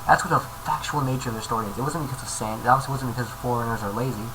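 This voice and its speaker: Man reading aloud over clearly noisy audio. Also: medium pitch, racing. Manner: informal, stumbles over words, jokey interjections. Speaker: creepypasta reader, video host. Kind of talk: casual narration reading a horror story with off-script asides. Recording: noisy amateur home recording.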